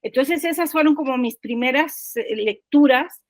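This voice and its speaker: An older woman speaks as an interview guest. Her voice is on the high side and recorded dry and close. She tells personal stories casually, haltingly repeating words and checking for agreement. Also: dry recording. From Guerrero, Mexico. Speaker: podcast guest, poet.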